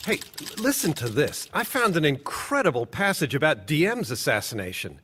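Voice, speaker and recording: An actor playing an eager male reporter in a radio drama, excitedly reading out documents. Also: fairly high pitch, moderate pace, slightly rough, full character voice. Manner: excited, reads documents aloud.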